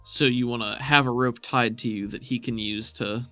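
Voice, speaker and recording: A man speaking as game master of a tabletop roleplaying game, describing scenes and talking rulings through conversationally, at a middling pitch. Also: fairly even intonation, moderate pace, pushed and bright, no echo, faint music behind.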